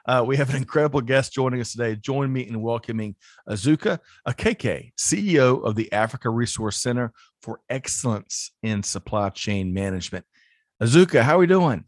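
Upbeat chatty host, dry close sound. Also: male voice, mid-range voice, expressive, a little fast, no echo. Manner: upbeat, chatty, with frequent restarts. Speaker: podcast host. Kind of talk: casual interview chat.

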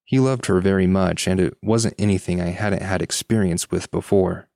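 A man's voice: sits low, steady tone, moderate pace, vocal fry, warm tone, dry recording, studio quality. A man co-hosting a podcast, reading listener stories and chatting casually. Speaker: podcast co-host.

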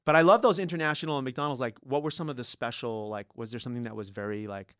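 A man's voice: mid-range voice, fairly even intonation, fast talker, fairly bright tone, dry recording. Jokey male podcast host, dry studio sound. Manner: jokey, laughs often. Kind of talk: playful podcast hosting.

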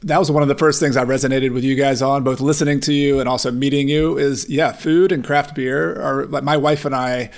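A man's voice: mid-range voice, steady tone, a little fast, few pauses. A middle-aged man speaking as a podcast guest, telling personal stories in a casual, conversational way. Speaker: podcast guest, real estate investor and author. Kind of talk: casual chat, personal storytelling.

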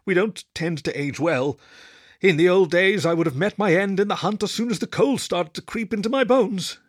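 Proud old wolf teacher, sick but stubborn, as voiced by a man, pitched higher than the narration and louder, growling orders. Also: moderate pace, no echo, clean studio sound. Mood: defiant. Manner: murmuring then growling and commanding.

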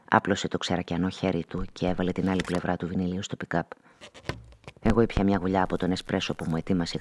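A man acting as an observant first-person narrator in a radio drama, telling the story in a measured, literary way. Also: low voice, a little hiss or hum.

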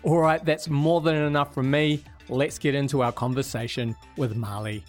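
A man's voice: medium pitch, fairly even intonation, moderate pace, faint music behind. Upbeat man hosting a podcast. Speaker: podcast host. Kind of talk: scripted podcast intro, then interview.

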